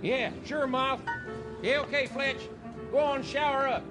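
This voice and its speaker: An actor playing an easygoing baseball catcher in a radio drama. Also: male voice, extremely high pitch, fairly even intonation, a little slow, music in the background. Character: easygoing baseball catcher calling to his pitcher.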